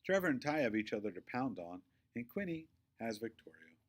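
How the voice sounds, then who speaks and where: Male reader as sullen, defiant kid narrator for a children's storybook. Expressive, aggrieved, mid-pitched. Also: fairly quick. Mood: hurt, sulky. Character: sullen young boy narrating his own hurts, defiant. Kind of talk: children's novel read aloud, first person.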